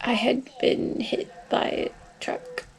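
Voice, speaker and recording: A woman's voice: high-pitched, very animated, slow, creaky, rough recording. A woman, sick and congested, rambling through a casual video diary with lots of filler words. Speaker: vlogger.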